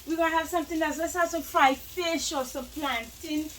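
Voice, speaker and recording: Brief: a Guyanese mother as her daughter puts her on, higher and louder, breezy and dismissive, waving off her kids. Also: steady tone, moderate pace, far from the mic, slight background noise, low-quality recording.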